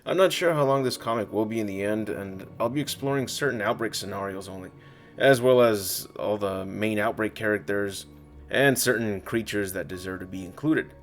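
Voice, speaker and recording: Deep-voiced man narrating over music. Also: moderate pace. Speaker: comic creator narrating own video. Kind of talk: scripted narration and channel talk.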